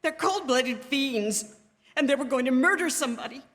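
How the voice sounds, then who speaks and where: A woman's voice: high-pitched, lively intonation, normal speed, fairly bright tone. An actress playing an anxious, demanding married woman on the telephone in a stage radio drama, agitated, haughty and insistent. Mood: frantic, horrified. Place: theatre stage before an audience. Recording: live theatre stage with long reverb.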